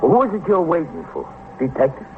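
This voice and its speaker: A man's voice: on the high side, very animated, quick pace. An American man playing a nosy, folksy building superintendent in a vintage radio crime drama, garrulous, hesitant and colloquial. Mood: chatty, nervous. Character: chatty, nosy, folksy married building superintendent, eager to help. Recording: noisy, narrow-band old radio recording.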